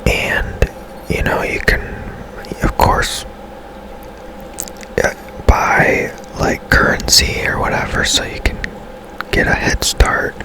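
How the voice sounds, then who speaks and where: A man giving casual, hushed ASMR commentary over a video game in a breathy whisper, musing in an unhurried way. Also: rough recording. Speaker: game streamer, host.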